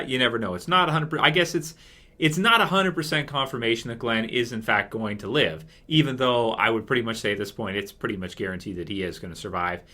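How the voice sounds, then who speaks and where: A man's voice: mid-range voice, pushed and bright. A man hosting a casual fan commentary video, talking in a rambling way that circles back over his points. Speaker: video host.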